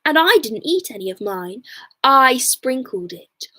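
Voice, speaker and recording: Woman playing a clever, reassuring young boy, slow-paced. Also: high voice, sing-song, sharp tone, full character voice. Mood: secretive, proud.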